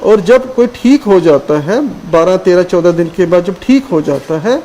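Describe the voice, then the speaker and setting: A man speaking as a medical expert on a call-in show, explaining precautions in a calm, patient, instructive way. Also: sits high, moderate pace, slight background noise. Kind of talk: explaining health advice.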